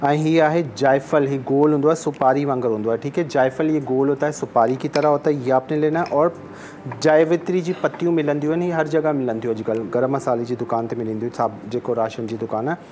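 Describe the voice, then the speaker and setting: A man's voice: mid-range voice, fairly even intonation, fast, darkish tone, slight background noise. A man, explaining a recipe step by step as a TV cooking show chef in a studio kitchen. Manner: instructive, repeats steps, switches between Urdu and Sindhi. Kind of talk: cooking demonstration.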